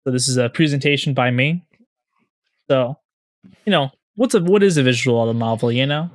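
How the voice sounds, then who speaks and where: A man co-hosting a podcast, explaining things informally in a halting, repetitive way full of filler phrases. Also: mid-range voice, no room sound, clean studio sound. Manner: halting, repetitive, peppered with "you know". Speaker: podcast co-host.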